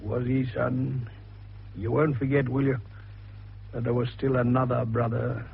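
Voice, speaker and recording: A very husky, gravelly older male sleuth in a radio play. He is shrewd and avuncular, genially butting in with questions. The recording is thin and tinny, like an old broadcast. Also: mid-range voice, level tone, measured pace, exaggerated voice. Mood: ominous, deliberate. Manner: genial, patronising, interrupting. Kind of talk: radio drama acting.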